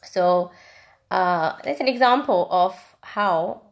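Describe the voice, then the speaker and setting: This is a woman from India with a slightly low voice, streaming a casual spiritual teaching. She talks reflectively and repeats words as she thinks. Frequent ums and small restarts keep it loose and conversational. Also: slow pace. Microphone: phone or webcam on a live video stream.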